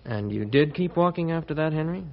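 An actor playing a soft-hearted, curious man asking questions in a radio drama. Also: a little high, lively intonation, fairly quick. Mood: moved, curious. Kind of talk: old-time radio drama acting. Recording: dull old radio recording, faint noise.

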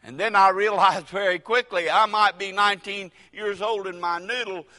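An older man preaches in a drawl, folksy and full of dramatic pauses that break into sudden loud rises. His voice is bright and forceful. It rings out with a long reverb through a church hall. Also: high voice, fairly even intonation. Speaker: pastor. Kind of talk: sermon.

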